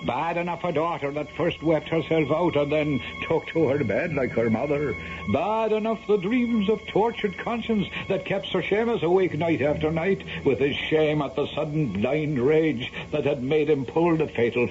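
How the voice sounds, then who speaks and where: An actor as a brooding narrator, telling a grim tale in a 1970s radio drama. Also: male voice, fairly high pitch. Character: brooding Irish storyteller of a grim ghost tale. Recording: dull vintage radio recording with music.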